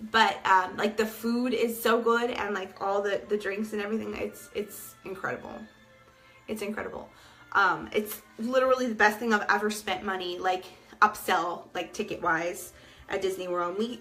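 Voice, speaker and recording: A woman in her thirties chatting casually to viewers on a livestream, gushing with lots of fillers, and sick, so she is losing her voice and getting soft-spoken. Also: mid-range voice. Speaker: livestream host.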